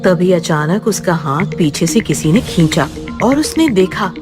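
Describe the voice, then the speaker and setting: Lady telling a scripted horror story, slow n suspenseful, pitch moves a lot, dramatic. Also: animated, over music.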